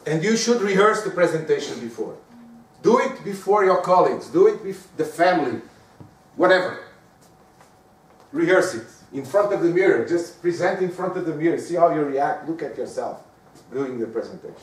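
A man with a Portuguese accent, lecturing with animated rhetorical questions. His voice sits high for a man. He sounds distant from the mic, and the room echoes around him. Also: normal speed. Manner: lively, talks to the audience with frequent rhetorical questions. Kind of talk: lecture on giving presentations.

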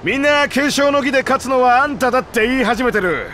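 A hearty ship's sailor with a high, bright, forceful voice. He is easygoing and rough-spoken, like a dockhand. Faint noise hangs behind him. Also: expressive, a little fast, heavily acted. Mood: jovial.

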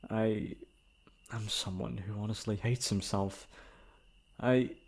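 Man acting a deep-voiced, remorseful young prince. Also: steady tone, gravelly, slightly rough, exaggerated voice. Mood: ashamed, self-loathing. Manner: formal, halting, stammering. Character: remorseful young prince, formal, self-loathing, tearful. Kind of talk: dramatic ASMR roleplay monologue.

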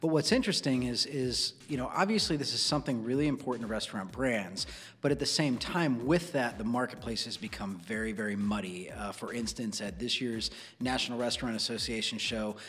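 A man's voice: medium pitch, a bit bright, long reverb tail, a little hiss or hum. An American man in his thirties presenting and discussing as a podcast host in a conversational way. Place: small dark indoor studio. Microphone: close broadcast studio microphone on boom arm.

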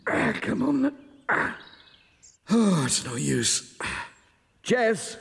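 A man voicing a kindly, easily flustered village postman in a children's cartoon, gentle and exclaiming. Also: high voice, sing-song, drawn-out words, gravelly, husky, long reverb tail, heavily acted. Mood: puzzled, flustered.

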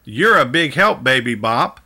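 A man's voice: mid-range voice, sing-song, unhurried, pushed and bright, slightly rough, voice pushed down, exaggerated voice. A man reading the part of a cheerful, helpful big dinosaur in a children's storybook, encouraging and sing-song.

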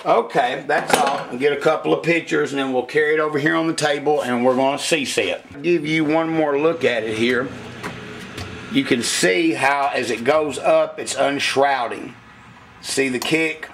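A man with a gravelly, somewhat high voice talks through hands-on engine work at a workshop bench. He keeps it casual and plainspoken, the way a machinist explains his job in folksy shop talk. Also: moderate pace, fairly bright tone, slight background noise.

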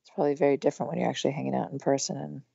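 Woman, hoarse voice, low pitch, rambling casual chat, dry dull recording. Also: fairly even intonation, very fast, mellow, no echo. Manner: chatty, rambling with many fillers. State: losing her voice, hoarse. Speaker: podcast guest, musician. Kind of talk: casual conversation.

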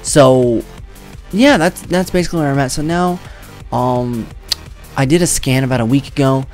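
A man's voice: mid-range voice, normal speed, darkish tone. A young man in his twenties talks loosely into a desk mic in a small room at home, rambling and swearing as he goes. His voice sounds a bit worn, and there is faint music behind him. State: voice weakened from treatment. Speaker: streamer. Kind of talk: casual vlog talk to camera. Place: home streaming room, indoors.

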